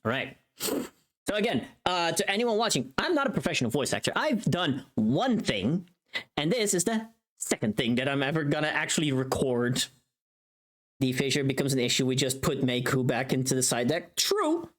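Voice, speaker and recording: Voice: medium pitch, big pitch swings, moderate pace. A livestream host chatting casually while working, informal and sweary, often thinking aloud.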